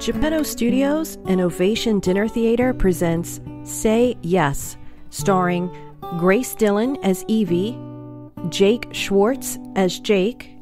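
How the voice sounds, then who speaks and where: A woman narrating and announcing a radio play in a scripted style. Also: mid-range voice.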